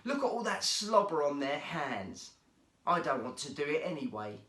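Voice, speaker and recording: A man's voice: on the high side, very animated, moderate pace, sharp tone, reverberant. A man voicing a sulky, cocky, scornful schoolboy in a children's storybook reading. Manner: grumbling, scornful. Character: sulky, cocky schoolboy who scoffs and grumbles.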